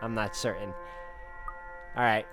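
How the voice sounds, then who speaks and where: A man's voice: lowish voice, steady tone, a little slow, over music. A man hosting a game walkthrough, reading story text aloud and adding casual comments. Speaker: gaming video host.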